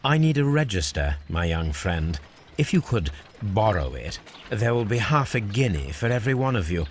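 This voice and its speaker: British gentleman detective for a game, low voice, lively intonation, self-assured and formal, noisy background. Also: moderate pace. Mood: brisk, commanding. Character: keen, confident gentleman detective, middle-aged man. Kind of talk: video game cutscene acting, deductive monologue.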